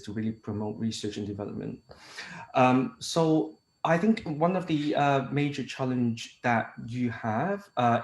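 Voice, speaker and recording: A man giving a conference talk at an even, middle pitch. He pauses often and fills the gaps with "uh" and "um" as he restarts his phrases. The room around him adds a noticeable echo. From Hong Kong. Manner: hesitant, frequent fillers and restarts. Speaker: international technical advisor. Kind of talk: conference presentation.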